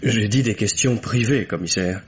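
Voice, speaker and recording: A man's voice: fairly low pitch, normal speed, natural voice. A man acting a reserved, bitter son under questioning in an old radio mystery, answering formally with hesitations and curt replies. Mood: defensive, bitter. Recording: dull, noisy old radio drama recording.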